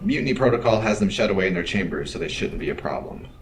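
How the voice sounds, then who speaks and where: An actor playing a stoic security officer in a science fiction audio drama, speaking in a clipped, matter-of-fact way. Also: male voice, fairly low pitch, expressive, fast talker, natural voice. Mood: businesslike, clipped. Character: stoic, terse male security officer leading a mutiny. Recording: loud background noise over dialogue.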